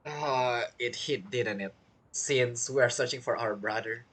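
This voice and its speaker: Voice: medium pitch, slow, a bit bright, husky. A game streamer giving casual, informal live reaction commentary.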